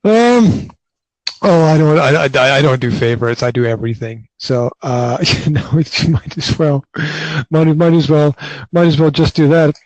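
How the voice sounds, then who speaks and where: A man from the north of Scotland with a Scottish accent, hosting a casual podcast chat indoors in his flat, often restarting his sentences and laughing. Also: a little high, normal speed, no echo, rough recording. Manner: stammering restarts, frequent laughter. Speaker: podcast host.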